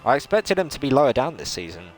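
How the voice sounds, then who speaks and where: A man giving excitable live play-by-play commentary on an online racing series, rising sharply in pitch at the big moments. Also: quick pace, faint music behind, rough recording. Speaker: lead race commentator.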